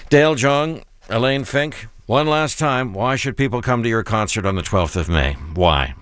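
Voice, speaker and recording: A man's voice: mid-range voice, expressive, moderate pace, a bit bright, smooth, no echo. A man hosting a radio interview, asking questions in an enthusiastic, effusive way.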